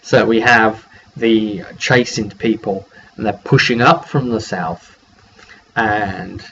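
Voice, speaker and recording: A man's voice: fairly low pitch, slow, sharp tone. A man giving a casual, rambling, conversational game master's recap of a tabletop role-playing session in a one-take vlog. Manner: rambling, conversational, acts out brief dialogue. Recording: dull lo-fi sound, clear background noise.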